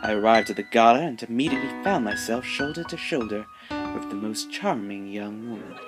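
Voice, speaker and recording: A man's voice: on the low side, expressive, fairly quick. A man playing an earnest, put-upon reporter in a radio play, polite and a little melodramatic. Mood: confessional. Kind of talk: old-time radio play narration and dialogue. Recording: music and crowd behind.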